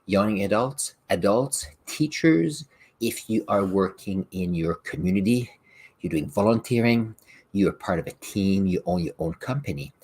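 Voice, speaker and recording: A middle-aged man whose first language is French talks through his ideas in a low, slightly husky voice. His pitch moves a lot as he explains, and he gives examples with the odd restart along the way. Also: expressive, a little slow, darkish tone. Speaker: podcast host and science teacher. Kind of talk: solo podcast talk.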